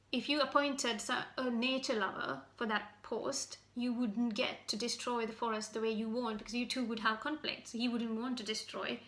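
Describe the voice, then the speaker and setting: A Sri Lankan woman speaks in a bright, forceful voice that sits fairly high and stays quite level. She addresses her listener directly with earnest, halting criticism, like a citizen activist. The room around her sounds echoey. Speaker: citizen activist giving an open message. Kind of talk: direct spoken address to camera, semi-prepared.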